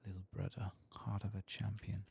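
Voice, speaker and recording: A man softly narrating his video game in ASMR style, tired and casual, muttering to himself and drifting into whispers. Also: on the low side, level tone, normal speed, creaky, slightly rough. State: tired, late at night. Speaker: host of a game playthrough. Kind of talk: soft-spoken ASMR gameplay commentary. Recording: faint background noise.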